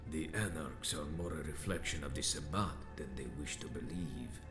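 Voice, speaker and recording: Very deep ancient vampire elder. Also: male voice, echoey room, music in the background. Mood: scornful, grave. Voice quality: very deep, grave. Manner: slow, theatrical, archaic formal phrasing. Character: ancient vengeful male vampire sect elder, proud, menacing, contemptuous. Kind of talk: video game voice acting.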